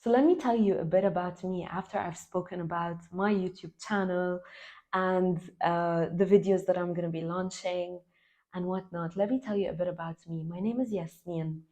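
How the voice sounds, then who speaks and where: A woman in her thirties from Lebanon, raised in the UAE, talking to camera as a self-love coach in an earnest, slow and emphatic way. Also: lowish voice, fairly even intonation, dark tone, dull audio. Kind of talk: spiritual vlog talk to camera.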